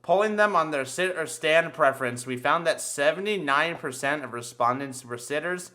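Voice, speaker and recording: A man in casual podcast banter, telling crude stories with a lot of swearing and an animated delivery. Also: on the high side, moderate pace. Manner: crude, foul-mouthed, animated storyteller.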